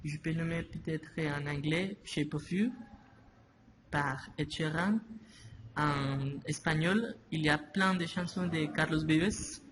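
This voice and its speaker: A man answers an interviewer slowly, stopping often to restart his sentences. His voice sits a little high. Steady noise runs behind the recording. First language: Spanish. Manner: halting, many restarts and fillers. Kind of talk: slow, hesitant interview answers. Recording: noisy ambience behind voice.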